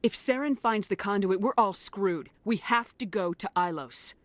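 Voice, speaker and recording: A woman's voice: mid-range voice, moderate pace, no echo, lightly acted. A woman playing a firm, blunt military commander in a science fiction video game. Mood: urgent, indignant. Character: resolute human female military commander in space opera. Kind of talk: cinematic game voice acting.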